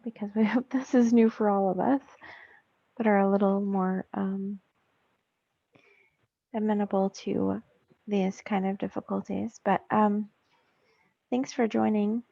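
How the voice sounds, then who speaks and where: Female meeting host with a gravelly edge, hesitant delivery, muffled dry call sound. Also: mid-range voice, little pitch movement, dark tone, no echo. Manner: halting, frequent ums. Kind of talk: webinar introduction. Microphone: video call. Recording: dull dry call audio.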